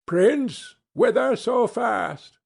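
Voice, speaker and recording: Man putting on a high-pitched little dwarf, sly and commanding. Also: lively intonation, drawn-out words, vocal fry, a little mellow, breathy edge, exaggerated voice. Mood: challenging. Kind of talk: fairy-tale storybook character voice.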